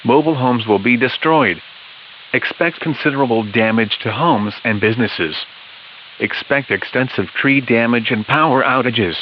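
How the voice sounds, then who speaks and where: A male announcer with a mid-pitched voice delivers an official severe weather alert. His reading is measured and impersonal, strictly scripted. The audio is dull and band-limited, with a light radio hiss. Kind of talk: formal scripted emergency weather bulletin.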